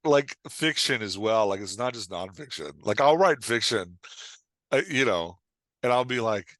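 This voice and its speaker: A man's voice: lowish voice, animated, moderate pace, fairly bright tone, no room sound. A man talking casually as a podcast guest and writing coach, rambling as he explains, peppering it with "like" and imagined quotes. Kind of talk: casual conversation, explaining and joking.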